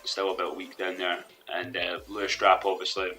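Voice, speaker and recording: Low bright-toned young man, a bit hoarse. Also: monotone, moderate pace, poor audio. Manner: rambling, hesitant, with restarts. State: losing his voice. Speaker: gaming commentator. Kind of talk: let's play commentary. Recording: faint background music.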